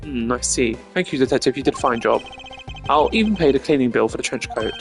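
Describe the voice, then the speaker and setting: A man plays a stern, cerebral game investigator. He speaks in a formal, precise way with dry sarcasm, at a middling male pitch, over background music. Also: lively intonation, fast talker, lightly acted. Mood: composed, formal. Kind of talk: hobby voice acting of a video game. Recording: music behind the voice.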